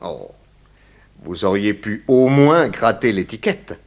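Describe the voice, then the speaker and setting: An actor playing a cocky, excitable male private detective in a 1960s French radio comedy serial, theatrical and comically hesitant as he recounts his case. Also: fairly low pitch, takes it slowly, exaggerated voice. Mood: brisk, commanding.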